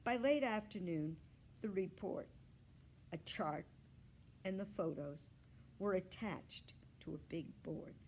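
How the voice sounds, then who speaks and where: A woman narrates a children's storybook in a slightly low voice. She takes her time, with deliberate, expressive delivery. There is some faint noise behind her. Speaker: children's librarian reading aloud.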